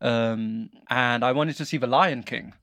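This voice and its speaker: A man's voice: medium pitch, unhurried, a bit bright, dry recording, studio quality. A man hosting a podcast, chatting in an easygoing way and often laughing lightly. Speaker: podcast host. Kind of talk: casual podcast conversation.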